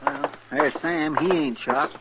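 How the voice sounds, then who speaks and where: An actor playing a guileless, good-natured deputy with a twangy rural Western American drawl in an old radio show. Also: male voice, on the high side, slow, heavy background noise. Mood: plainspoken. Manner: drawling, folksy. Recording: muffled old radio broadcast.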